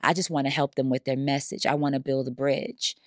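A woman's voice: very deep voice, fairly even intonation, a little fast, no echo, studio quality. A woman hosting a casual conversation, effusive and encouraging in how she talks. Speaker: podcast host.

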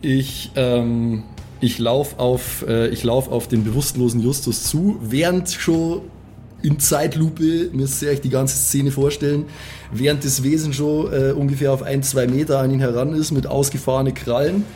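Halting male tabletop player over music. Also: medium pitch, fairly even intonation, mellow. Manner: halting, thinking aloud. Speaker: player in a tabletop role-playing game. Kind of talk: improvised casual role-play, describing his character's actions.

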